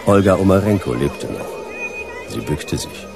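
Tired noir detective, super deep gravelly voice, deadpan short sentences, radio play. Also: male voice, unhurried, over music, exaggerated voice. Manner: laconic, deadpan, clipped sentences. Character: world-weary hard-boiled male detective, narrating dryly. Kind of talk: German radio drama narration and dialogue.

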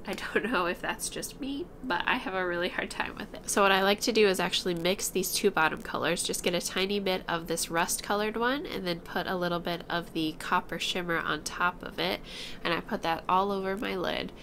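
Low-pitched, slightly stuffy young woman. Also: brisk, fairly bright tone. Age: 20s. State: stuffed up from allergies. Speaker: vlogger. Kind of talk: casual product review chat to camera. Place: small room at home. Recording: clear room noise behind voice.

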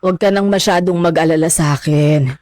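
A woman's voice: low-pitched, fairly even intonation, brisk, a little mellow, dry recording, clean studio sound, close to an everyday voice. A woman acting a troubled, anxious middle-aged mother in a radio drama.

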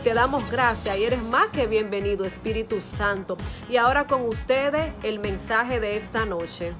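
A woman's voice: a little high, normal speed. A woman in her thirties hosting a church service in Spanish, devoutly welcoming and praying with repeated invocations, recorded on a phone indoors. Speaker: service host introducing the preacher. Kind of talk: welcome, scripture reading and prayer. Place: small room at home, indoors. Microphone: phone at arm's length. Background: music.

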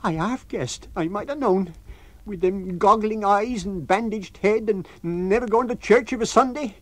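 A man's voice: sits high, a little slow, no echo, pitched above the performer's own voice. A man reading a story and voicing a middle-aged country landlady who scolds indignantly in rustic dialect. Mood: frightened.

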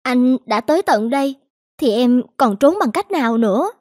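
Earnest high-voiced soldier girl. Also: expressive, normal speed, a little mellow, dry recording, clean studio sound. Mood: resigned. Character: earnest, enduring young woman soldier confessing hardship. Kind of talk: cartoon dubbing. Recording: music behind the voice.

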